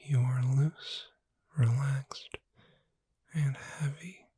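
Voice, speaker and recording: Woman playing a slow, breathy whispering witch. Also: rumbling low, steady tone, no room sound, clean studio sound, heavily acted. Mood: soothing. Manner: soothing, unhurried, hushed whispering. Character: gentle, caring witch bookseller soothing someone to sleep. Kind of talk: soft-spoken ASMR roleplay. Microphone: close microphone in a dry space.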